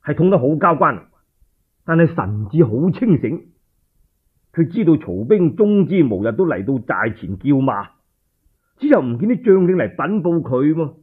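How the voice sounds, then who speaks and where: Male Cantonese-accented storyteller, theatrical and emphatic, slightly thin lo-fi radio sound, husky voice. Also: a little high, big pitch swings, moderate pace. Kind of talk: dramatic traditional oral storytelling, radio narration.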